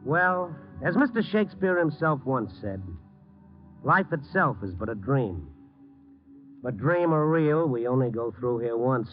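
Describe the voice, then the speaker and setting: An actor playing a world-weary, compassionate reporter, narrating an old radio noir drama. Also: male voice, medium pitch, sing-song, a little slow, lo-fi sound, faint music behind. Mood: wistful, wry.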